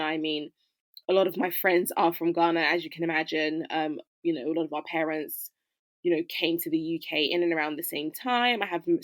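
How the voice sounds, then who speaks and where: Low-voiced woman, dry sound. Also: no room sound. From United Kingdom. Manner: conversational, reflective, frequent fillers. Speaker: guest, fashion educator. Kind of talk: interview answer.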